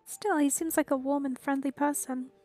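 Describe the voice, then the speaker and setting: A woman's voice: high-pitched, steady tone, moderate pace, mellow, faint music behind. A woman giving casual, informal commentary while playing through a video game. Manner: informal, reactive. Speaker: game streamer.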